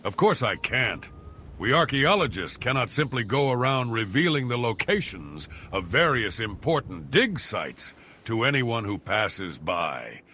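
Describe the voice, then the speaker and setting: A man's voice: medium pitch, sing-song, a little slow, bright, forceful tone, slight background noise. A man playing a pompous, irritable and shrewd archaeologist in a video game, speaking in a haughty, wordy, formal way. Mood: smug, scheming. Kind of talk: video game character dialogue.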